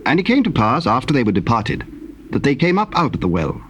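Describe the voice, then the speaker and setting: Measured male scripture narrator, mid-pitched. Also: wildly swooping pitch. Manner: measured, formal. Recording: hissy old cassette recording.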